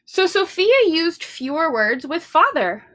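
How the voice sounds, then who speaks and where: A woman's voice: very high voice, sing-song, measured pace, fairly bright tone, slight background noise. A woman hosting a children's storytime, reading aloud expressively and speaking simply to young listeners. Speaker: library storytime host. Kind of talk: children's picture-book read-aloud.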